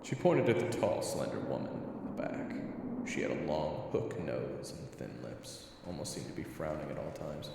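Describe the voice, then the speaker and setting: A man's voice: very deep voice, very animated, normal speed, vocal fry, distant mic, background noise, heavily acted. A man reading scripted story narration as the narrator of an audio drama. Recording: echoey hall reverb, music behind.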